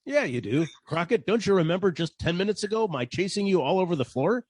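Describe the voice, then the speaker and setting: An actor playing a wry, hard-boiled private detective in a 1940s-style radio caper, with a sardonic delivery. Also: male voice, high-pitched, big pitch swings, quick pace, darkish tone, no echo. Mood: brisk, questioning.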